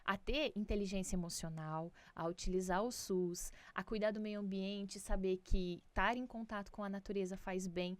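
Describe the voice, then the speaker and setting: A woman's voice: mid-range voice, fairly even intonation, moderate pace, few pauses, dry recording. A woman with a Brazilian accent speaking as an interview guest, casually and emphatically giving opinions and checking in with tag questions. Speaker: podcast guest, doctor.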